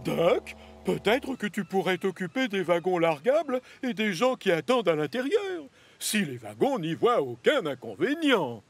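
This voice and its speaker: A man's voice: high voice, very animated, normal speed, heavily acted. A man voicing a pompous, stern but fair railway controller in a French children's cartoon, speaking formally. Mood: polite.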